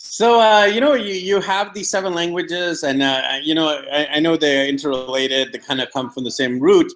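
A man's voice: a little high, moderate pace, a bit bright, poor audio. A man hosting a casual interview over a video call, chatty with many fillers.

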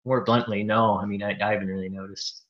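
A man from Newfoundland, Canada, a guest in a casual interview, speaking haltingly with stammered restarts. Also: fairly low pitch, flat delivery, dull audio.

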